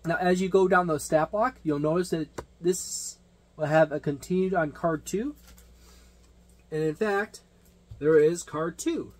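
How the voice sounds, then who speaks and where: A man with a somewhat high-pitched voice talks through an unscripted product overview. He explains things step by step in a rambling way, often circling back to rephrase or repeat a point, in the manner of a hobby game reviewer. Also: unhurried, pauses often. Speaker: tabletop game reviewer and game master.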